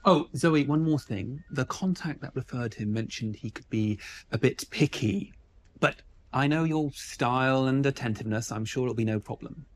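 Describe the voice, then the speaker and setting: An actor playing a kindly, easygoing boss in an audio drama, friendly and encouraging. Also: male voice, mid-range voice, normal speed, lightly acted. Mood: friendly, relaxed.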